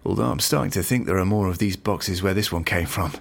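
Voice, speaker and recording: Audio drama agent: deep, slightly husky, calm, guarded, dryly understated. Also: male voice, fast. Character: calm, cautious male investigating agent, dryly noncommittal. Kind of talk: naturalistic audio drama acting.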